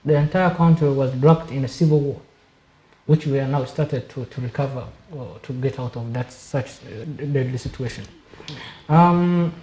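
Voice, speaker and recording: Man from Somalia, dark echoey voice. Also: fairly high pitch. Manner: measured, repeats words when searching. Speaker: studio guest. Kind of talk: panel discussion.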